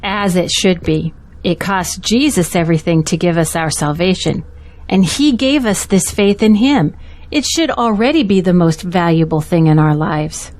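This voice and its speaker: A woman's voice: on the low side, moderate pace, darkish tone, close to an everyday voice. A woman acting as a devout, supportive confidante of a pastor in an audio drama, speaking earnestly and reassuringly. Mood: steadfast, reassuring.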